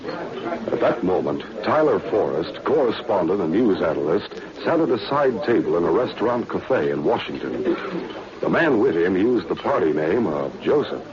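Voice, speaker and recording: Portentous American radio narrator, deep and highly animated, 1940s serial style, dull old-broadcast sound. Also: male voice, moderate pace, a crowd in the background. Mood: ominous, dramatic. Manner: dramatic, portentous. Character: grave, portentous male announcer of a spy serial. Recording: muffled vintage radio recording.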